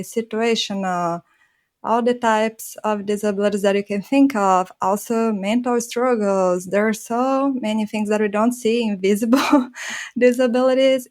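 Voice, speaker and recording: A woman's voice: mid-range voice, a little mellow. A woman from Brazil with a Brazilian accent, speaking earnestly as a guest on a design podcast and laughing often. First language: Portuguese. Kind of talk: conversational podcast interview.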